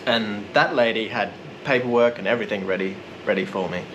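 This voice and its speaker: A man narrating a casual vlog in a relaxed, rambling, self-deprecating way. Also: fairly low pitch, brisk, lo-fi sound, background noise. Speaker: vlogger.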